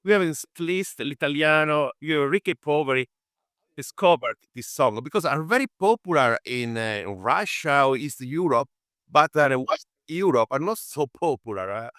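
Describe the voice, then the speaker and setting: A man hosting a radio interview, asking questions haltingly with many restarts and non-native phrasing. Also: on the high side, animated, fairly bright tone, clean tone, no room sound, clean studio sound.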